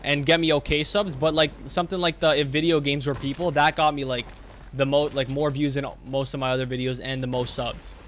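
A man's voice: medium pitch, level tone, brisk, fairly bright tone, slight background noise. A young man streaming from his home gaming room, chatting casually, laughing often and thanking donors. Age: young adult. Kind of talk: casual chat while gaming.

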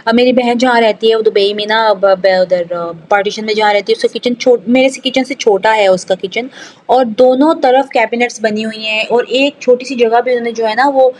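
A woman with a slightly high voice narrates a home vlog. She talks casually and conversationally, as if chatting to viewers. Her talk rambles and leans on repeated filler phrases. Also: fairly even intonation, a little fast, a little mellow, low-quality recording. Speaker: home vlogger.

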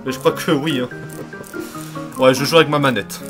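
Mocking young Frenchman over loud game music. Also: medium pitch, fairly even intonation, moderate pace. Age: young adult. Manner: mocking, irritated, crude. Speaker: let's play host. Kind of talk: casual gameplay commentary. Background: video game music.

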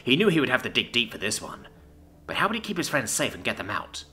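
A man's voice: medium pitch, wildly swooping pitch, fast talker, sharp tone, faint music behind. A man voicing a cocky, sarcastic young human man in an audiobook, casual and condescending. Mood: worried.

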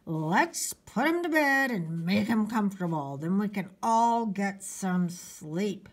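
A woman's voice: a little high, slow, pitched above the performer's own voice. A woman voicing a harried storybook mother, coaxing with strained patience. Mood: clenched patience. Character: harried, forcedly patient mother of two boys.

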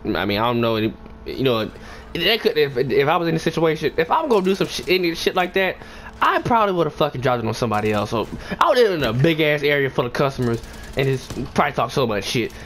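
A man's voice: fairly high pitch, big pitch swings, fast talker, fairly bright tone. Profane, rambling young man over background noise. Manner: profane, slangy, rambling, emphatic when indignant. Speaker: gaming video creator telling a story. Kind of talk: casual storytime over gameplay. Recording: clear background noise and ambience.

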